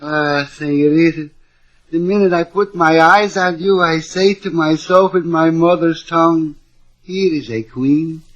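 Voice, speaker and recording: High-voiced flattering Spanish-accented hustler , played by an actor. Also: male voice, unhurried, close to an everyday voice. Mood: wheedling, flattering. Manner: flowery, wheedling. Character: flattering Havana street hustler, flowery and eager. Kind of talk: 1950s radio comedy-drama acting. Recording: dull old radio recording.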